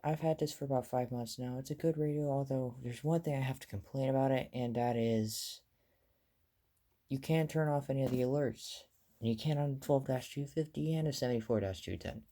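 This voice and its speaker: A man's voice: mid-range voice, brisk, dark tone. A man giving a casual, rambling show-and-tell as a hobbyist vlogger, offhand and full of detail.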